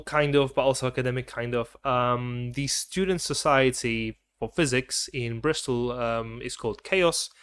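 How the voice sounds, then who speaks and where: Young male vlogger, casual and rambling, mid pitch, dry close recording. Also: a bit bright, clear, resonant tone, dry recording. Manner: chatty, rambling, self-correcting. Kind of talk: casual chat to camera.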